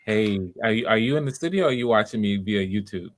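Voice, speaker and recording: A man's voice: lowish voice, fast. A man hosting a live audition stream, talking casually in a rambling, conversational way. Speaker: audition host.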